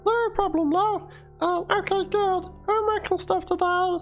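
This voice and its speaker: A woman with an extremely high-pitched voice reads out written chat replies in a dramatic reading. Faint instrumental music runs behind her. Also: steady tone, a little slow. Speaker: reader of one side of a chat exchange. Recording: music under the voice. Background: instrumental background music.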